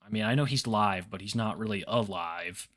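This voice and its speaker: A man co-hosting a podcast in casual chat, blunt and swearing freely, with dark humor. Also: on the low side, moderate pace. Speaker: podcast co-host.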